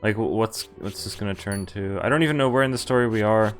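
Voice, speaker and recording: A man streaming a game, reading its text aloud and commenting informally, in a halting way as he thinks out loud. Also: lowish voice. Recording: music under the voice. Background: game music.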